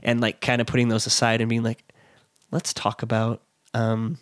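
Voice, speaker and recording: A man in his late twenties talking as an interview guest, thoughtful and halting, often restarting. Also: mid-range voice, completely flat delivery, no echo, studio quality. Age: late 20s. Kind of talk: casual interview chat.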